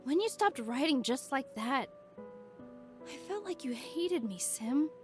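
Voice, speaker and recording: A woman's voice: high voice, lively intonation, measured pace, faint music behind. A woman voicing a stubborn young mage who feels left behind, speaking heartfelt and stammering when upset. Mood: hurt. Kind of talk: visual novel voice acting.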